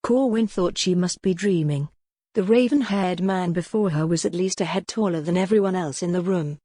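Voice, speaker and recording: A woman's voice: lowish voice, normal speed, mellow, no echo, clean studio sound. A woman reading scripted narration from a historical romance novel as an audiobook narrator.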